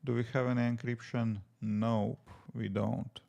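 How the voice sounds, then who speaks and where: A man's voice: mid-range voice, flat delivery, a little slow, creaky, mellow, muffled recording. A man from Latvia speaking English with a Latvian accent, chatting casually on a live coding stream and thinking aloud with many fillers. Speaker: app developer streaming live. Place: home desk.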